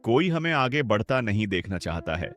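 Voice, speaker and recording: A man's voice: on the low side, very animated, moderate pace, a bit bright. A man playing a despairing poor farmer. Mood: lamenting. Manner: pleading, sorrowful. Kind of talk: cartoon voice acting. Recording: music behind the voice.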